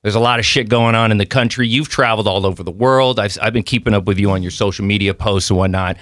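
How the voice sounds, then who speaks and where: Man, podcast host, from Mexico, animated, slangy, studio mic, dry sound. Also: on the low side, fast, dry recording. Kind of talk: casual interview chat.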